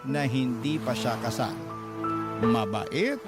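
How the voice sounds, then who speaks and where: A man's voice: medium pitch, slow pace, over loud music, natural voice. A man voicing a wronged young woman's letter as a dramatic radio reading, with a Filipino accent. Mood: earnest, aggrieved.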